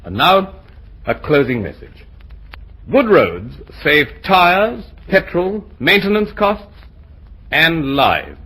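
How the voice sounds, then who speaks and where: Old-time radio announcer, male, husky, formal and measured, with animated pitch. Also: rough recording.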